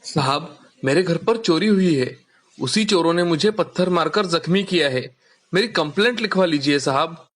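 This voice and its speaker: Man voicing a humble village father, fairly high-pitched. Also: lively intonation, normal speed, fairly bright tone. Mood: humble, worried. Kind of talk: children's animated story acting.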